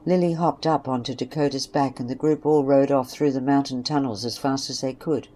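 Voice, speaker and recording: A woman's voice: rumbling low, fairly even intonation, a little fast, mellow. A woman narrating a scripted western story. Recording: faint background noise and ambience.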